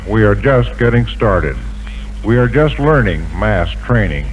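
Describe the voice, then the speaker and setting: A man's voice: medium pitch, a little hiss or hum. A man speaking as a military general, giving a formal prepared statement on 1940s radio in an emphatic, declarative way. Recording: dull vintage 1940s radio recording.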